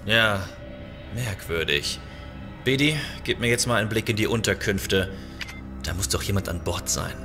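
An actor playing a calm, decisive starship captain in a sci-fi audio drama, commanding and matter-of-fact. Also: male voice, fairly low pitch, normal speed, music in the background, lightly acted. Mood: focused concern.

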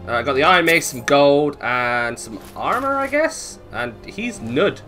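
A man's voice: a little high, very animated, a little slow, music in the background. A man giving casual, chatty gameplay commentary, thinking aloud playfully and swearing freely. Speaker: gaming video host.

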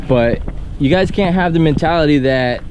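A man's voice: on the high side, moderate pace, noisy background. Laid-back man explaining outdoors. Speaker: fishing vlog co-host. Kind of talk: casual outdoor vlog chat. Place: outdoors by a canal.

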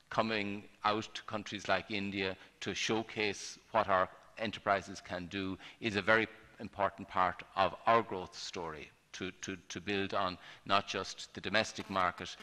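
A man in his sixties speaks at a roundtable with halting, repeated words. His voice is very low but bright-edged. He is on a table mic in a large, reverberant hall. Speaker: panel speaker, government representative. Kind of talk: panel remarks. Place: large hotel ballroom roundtable. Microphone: table gooseneck mic.

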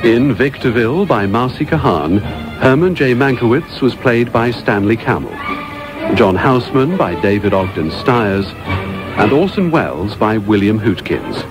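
A man's voice: medium pitch, big pitch swings, measured pace. A man reading scripted cast credits as a radio announcer. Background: music.

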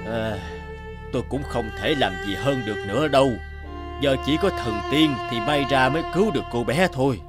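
A man's voice: mid-range voice, moderate pace, over loud music, natural voice. A man playing a grave, resigned middle-aged village healer in a cartoon. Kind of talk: cartoon dubbing.

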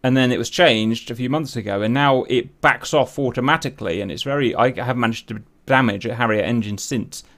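A man hosting an interview, asking curious questions and backing the guest with quiet acknowledgements. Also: mid-range voice, brisk, hardly any pauses. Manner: curious, many quiet "mm-hmm" backchannels. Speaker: interview host.

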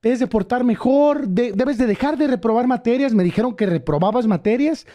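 A young man with a very high but mellow voice. He talks like a company agent on a prank call, official and scolding. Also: lively intonation, a little fast. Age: young adult. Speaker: prank caller. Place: gaming studio room. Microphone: boom microphone, phone in hand.

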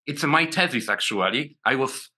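A man's voice: mid-range voice, expressive, normal speed, sharp tone. A Polish man in his forties with a Polish accent, a guest on a video call interview, talking with many restarts and repeated words. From Poland. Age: 40s.

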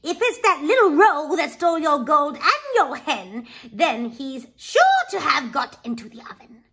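A woman's voice: high-pitched, very animated, a little slow, a bit bright, voice pushed up, full character voice. Woman playing a scolding ogre's wife. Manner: scolding, teasing. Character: sharp-tongued giant ogre's wife, scolding.

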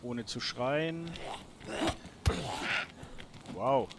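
A man commentates a video game at an unhurried, slow pace. His mid-pitched voice is colloquial and casual, as if chatting to himself and the characters. Clear noise and clicks sit behind the voice. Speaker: gamer and host of a let's play series. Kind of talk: casual let's play commentary. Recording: noisy home recording with clicks.

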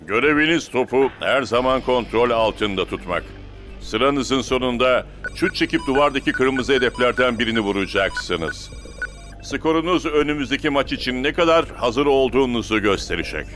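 An actor playing a serious, authoritative football coach in a cartoon, giving firm and deliberate instructions. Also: male voice, medium pitch, lively intonation, fairly bright tone, faint music behind, close to an everyday voice. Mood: firm, instructive. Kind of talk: dubbed children's cartoon dialogue.

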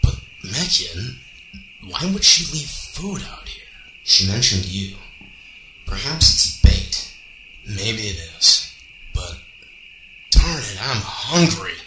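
A man plays a stealthy male cyborg hedgehog with a lowish, very sharp and forceful voice. He is terse and matter-of-fact, in an amateur radio drama with roomy echo. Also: animated, slow pace, pauses often, full character voice. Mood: hungry, wary. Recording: roomy echo, faint background noise.

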